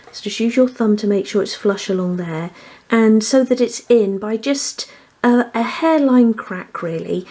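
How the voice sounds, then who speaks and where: A woman's voice: mid-range voice, warm tone, a little hiss or hum. A woman presenting a hands-on craft tutorial, explaining each step methodically. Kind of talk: hands-on step-by-step instructional demonstration.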